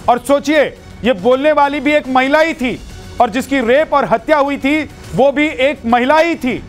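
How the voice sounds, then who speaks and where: A man's voice: very high-pitched, moderate pace. A man delivering scripted news commentary in an emphatic, rhetorical way. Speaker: news presenter. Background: faint music.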